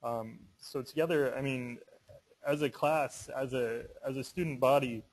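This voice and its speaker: A man's voice: medium pitch, fairly even intonation, a little slow, breathy edge, poor audio. A man presenting informally as a student at a public meeting.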